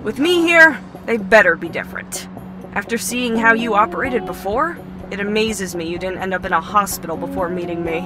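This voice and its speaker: A woman's voice: medium pitch, animated, normal speed, close to an everyday voice, low-quality recording. A young woman acting in an audio drama as a sarcastic, teasing superhero sidekick who builds gadgets. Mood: mocking. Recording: loud music bed behind voice.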